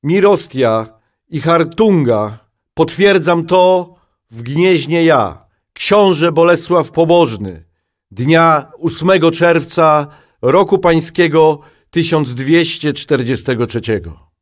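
A stately medieval duke, played by a man in a radio drama. He makes a formal proclamation in a fairly high male voice, solemn throughout. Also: animated, slow pace.

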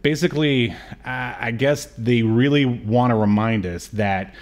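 A man's voice: mid-range voice, a little slow. A middle-aged man co-hosting a podcast over a video call, discussing movies casually in a joking manner. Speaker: podcast co-host. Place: indoor room, video call.

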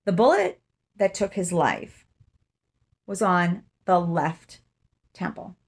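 A woman's voice: fairly low pitch, expressive, slow, creaky, breathy edge. A middle-aged woman giving casual true-crime commentary to camera, conversational and thinking aloud, recorded on a phone at arm's length in a room at home. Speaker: vlogger. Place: small room indoors, seated in an armchair.

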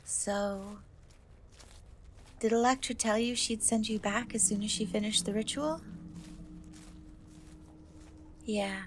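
A woman playing a gentle, caring young cat girl rescuer in a soft-spoken fantasy ASMR roleplay, reassuring and a little hesitant. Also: medium pitch, a little slow, a little hiss or hum, lightly acted. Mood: wistful.

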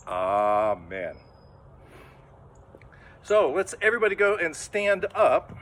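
A man's voice: on the high side, slow. An older man giving a children's sermon outdoors in front of a church, talking casually and acting out little voices as he goes. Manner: lively storytelling, acts out inner voices, gestures a lot. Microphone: camera a few metres away, standing full figure.

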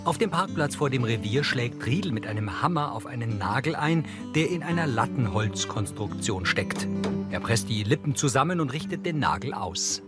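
Male narrator, mid-pitched, factual scripted scene descriptions over prominent music. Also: expressive, normal speed. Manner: neutral, factual. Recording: loud music behind the voice.

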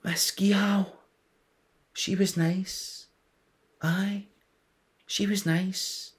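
A man's voice: high-pitched, moderate pace, pitched above the performer's own voice, natural voice. A Scottish man voicing a dreamy, simple-minded teenage boy who rambles and gets easily distracted. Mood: wistful. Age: young adult.

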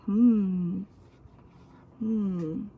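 A woman reading a children's storybook aloud as a teacher, expressive and speaking directly to young listeners. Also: medium pitch, very slow, breathy edge, narrow, tinny audio.

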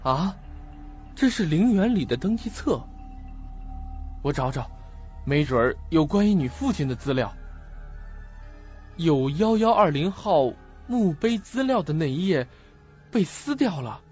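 A man's voice: fairly high pitch, very animated, unhurried, pauses often, a little mellow. An actor playing a troubled, protective young male student in an audio drama, on a lo-fi recording. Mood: searching. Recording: dull lo-fi recording with music.

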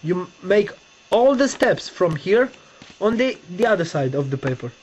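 Halting Romanian-accented young man. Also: high voice, big pitch swings. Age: young adult. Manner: halting, searching for words, repeats himself. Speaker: tutorial maker. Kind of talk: casual step-by-step demonstration. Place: bedroom, seated on a bed indoors. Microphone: webcam close-up. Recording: dull lo-fi webcam audio with faint hiss.

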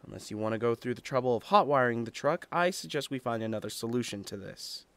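A man's voice: mid-range voice, quick pace, no echo, natural voice. A man playing an irritable, blunt young survivor with a curt, sarcastic manner in a webcomic dub. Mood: grim, curt. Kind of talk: animated webcomic fan dub.

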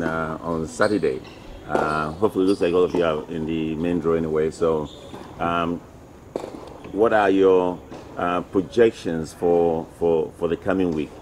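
A middle-aged man hosting a casual interview at an outdoor tennis court, talking haltingly with many fillers into a table mic. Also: low voice, a little mellow, a little hiss or hum. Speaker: interview host, tennis federation president.

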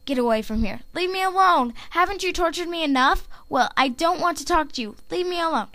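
A woman's voice: extremely high pitch, expressive, brisk, fairly bright tone, dry recording, voice pushed up, full character voice. A woman voicing a tormented cat, pleading and defensive, in an amateur audition. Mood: anguished, defensive. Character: anguished, tormented cat begging to be left alone.

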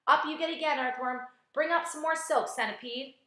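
A woman's voice: high voice, moderate pace, pitched above the performer's own voice. A woman as an urgent young boy hero, echoey. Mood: excited, commanding. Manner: urgent hushed whispers and excited shouts.

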